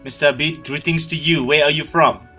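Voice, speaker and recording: A man hosts an online business webinar, warmly calling out attendees one by one. His voice is bright and forceful. Faint music plays behind him on the video call. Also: a little high, fairly even intonation, a little fast, breathy edge. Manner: friendly, greets attendees by name. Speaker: webinar host. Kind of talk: welcoming webinar hosting.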